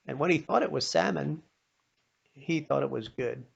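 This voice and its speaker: An older man with a slightly muffled, lo-fi sound tells homespun stories. His voice has plenty of gravelly fry, and he speaks in a folksy, halting manner, like someone recalling things as he goes. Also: fairly high pitch. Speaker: storyteller. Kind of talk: informal spoken storytelling of personal anecdotes. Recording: dull, lo-fi sound.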